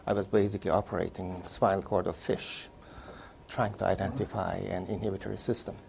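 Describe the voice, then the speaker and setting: Gravelly-voiced Turkish-born man in his sixties. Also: low voice, flat delivery, background noise. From Turkey. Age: 60s. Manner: measured, recounting career step by step. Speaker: interviewee, neurologist. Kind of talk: oral history interview.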